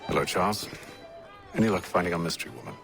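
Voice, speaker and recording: A low-voiced British man of authority, leading a secret order in colonial times. He is composed and formal, and chooses his words with deliberate care. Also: expressive, vocal fry. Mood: businesslike. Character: composed, commanding male leader of a colonial-era secret order. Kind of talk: scripted video game acting and narration.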